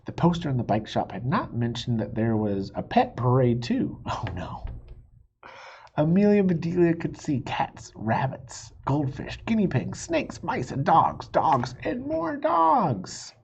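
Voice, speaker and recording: A man reading a children's storybook aloud in a playful, expressive way, stretching words for emphasis. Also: on the high side, a little slow, creaky, highs cut off, slight background noise. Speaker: reader of a children's book. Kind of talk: animated storybook read-aloud with asides.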